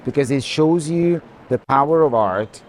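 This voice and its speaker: A man speaking as an art curator, reflective and halting as he searches for words in unscripted commentary. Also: a little high. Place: art fair, walking tour. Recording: background noise of a busy hall.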